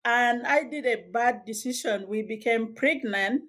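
A woman from Sudan, telling her life story as a guest in a podcast interview, measured and reflective with small hesitations. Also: fairly high pitch, fairly bright tone. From South Sudan. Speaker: guest author.

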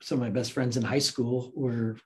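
A man's voice: medium pitch, normal speed. A man with an American accent telling a personal story as an interview guest in a casual, informal way, acting out quoted dialogue. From United States, grew up in Santa Cruz, California. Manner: informal, animated retelling with acted-out quotes. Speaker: interview guest, works in film.

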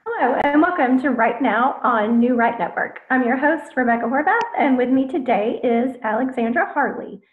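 A woman's voice: high-pitched, normal speed, few pauses. A woman hosting a podcast interview in a casual way, using many fillers. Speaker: podcast host. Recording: echoey, phone-like lo-fi sound.

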